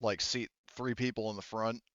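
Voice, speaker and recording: A man's voice: on the low side, steady tone, moderate pace, a bit bright, no room sound, lo-fi sound. A man acting as game master, explaining tabletop rules conversationally in a rambling way.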